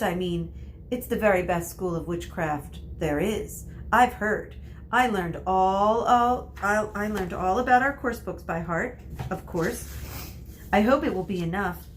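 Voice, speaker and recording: A woman's voice: mid-range voice, expressive, unhurried, slight background noise, close to an everyday voice. Woman voicing a bossy, chattering young witch girl. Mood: eager, know-it-all. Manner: breathless, chattering, self-assured.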